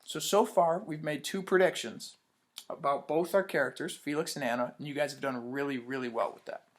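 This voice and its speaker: A man's voice: fairly high pitch, fairly even intonation, a little fast. A man teaching young children in a read-aloud lesson, upbeat, asking questions and praising answers.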